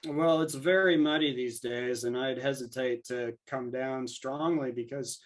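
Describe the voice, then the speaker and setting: A man's voice: medium pitch, fairly even intonation, measured pace. A man speaking as a podcast guest, thinking aloud in a conversational, discursive way and voicing imagined exchanges to make his arguments. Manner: discursive, argues through imagined dialogue.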